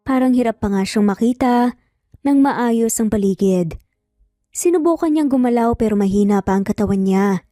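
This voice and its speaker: Woman, story narrator, high mellow voice, scripted dramatic reading, dry close recording. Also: no room sound.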